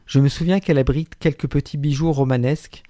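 A man delivers a radio book column in a wry, articulate way, pausing now and then on hesitations. His voice sits a little high. The studio sound is close and dry. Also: fairly even intonation, dark tone, no echo. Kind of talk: radio literary chronicle, partly read.

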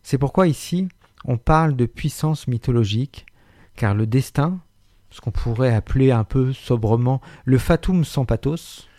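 A man's voice: medium pitch, lively intonation, normal speed, warm tone, no echo, studio quality. A man presenting a radio book column in a wry, articulate way, with frequent hesitations. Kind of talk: radio literary chronicle, partly read.

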